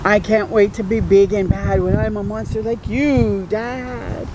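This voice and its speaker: A woman voicing an eager little boy monster, asking wondering, drawn-out storybook questions of his dad. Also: mid-range voice, fairly even intonation, background noise, lightly acted. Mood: eager, curious.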